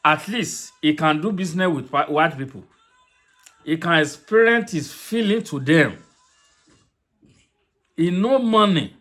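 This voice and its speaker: A man's voice: a little high, animated, measured pace, pauses often, fairly bright tone. A man with a Yoruba Nigerian accent delivering a fiery, mocking political commentary, switching between Yoruba and English. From southwest Nigeria. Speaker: online commentator.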